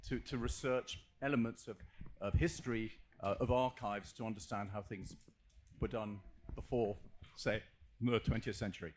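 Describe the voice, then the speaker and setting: A man asking a spontaneous question from the audience, pausing tentatively as he phrases it. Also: fairly low pitch, steady tone, a little hiss or hum.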